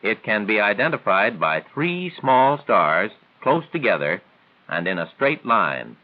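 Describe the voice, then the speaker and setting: A man's voice: fairly low pitch, sing-song, phone-quality sound. A man reading scripted instructional narration in a formal, didactic 1940s training film style. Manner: formal, didactic, measured phrasing. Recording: thin, band-limited 1940s film soundtrack.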